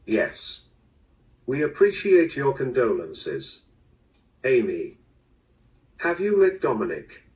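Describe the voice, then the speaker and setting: Composed, formal rich-family gentleman male actor, audio drama, animated pitch movement, distant small-speaker sound. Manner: formal, measured, polite. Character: composed, proper man of a wealthy estate family. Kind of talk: mystery audio drama acting.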